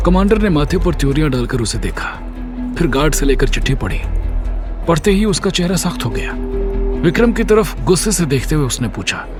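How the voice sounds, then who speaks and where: A man narrating a scripted audio drama series in a dramatic storytelling style. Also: fairly high pitch. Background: music.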